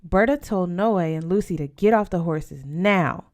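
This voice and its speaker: A woman's voice: on the low side, unhurried, a little mellow. A woman reading a children's novel aloud as scripted narration. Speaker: audiobook reader.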